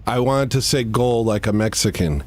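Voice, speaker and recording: A man hosting a livestream, joking his way through an improvised comedy skit in a deadpan, playful manner. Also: moderate pace, a crowd in the background. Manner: jokey and deadpan, with playful swings in pitch. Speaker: livestream host.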